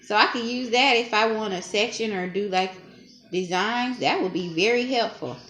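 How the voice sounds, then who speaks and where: A woman's voice: mid-range voice, moderate pace, fairly bright tone. Chatty young woman in an echoey room. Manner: chatty, self-teasing, laughs often. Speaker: hair tutorial vlogger. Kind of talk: casual talk-through tutorial. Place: small dim room at home. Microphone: camera propped low in front. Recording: echoey room, faint noise.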